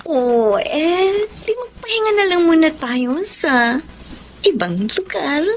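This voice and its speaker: A woman's voice: very high voice, lively intonation, slow, full character voice. An actress with a Filipino accent playing a bold, coy young woman in a radio drama, over a noisy background. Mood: defiant.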